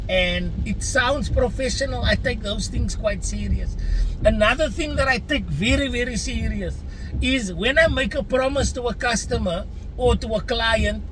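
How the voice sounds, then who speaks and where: Man, Afrikaans-first South African accent, earnest vlog rant, high voice, road noise behind. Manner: earnest, chatty, addresses viewers as guys. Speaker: vlogger. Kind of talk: casual opinion rant to camera.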